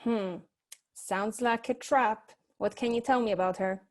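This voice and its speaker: A woman's voice: fairly high pitch, a little slow, lightly acted. A woman playing a private eye's worried, loyal assistant, speaking earnestly in a comic detective radio play. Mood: wary. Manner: earnest, cautioning.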